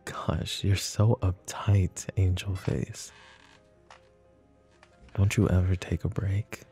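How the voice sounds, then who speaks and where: A deep-voiced, smug mafia boss acted by a man, flirty and possessive in an ASMR roleplay. He drawls slowly and intimately, mocking and teasing, with a gravelly fry in his voice. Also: level tone, mellow, soft background music. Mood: flirty, needling.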